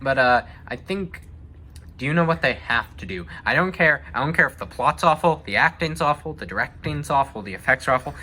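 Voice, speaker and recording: A man's voice: mid-range voice, animated, brisk, sharp tone. A young man chatting casually and giving his opinions, thinking aloud as he goes. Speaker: discussion host. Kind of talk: casual chat about video game movies.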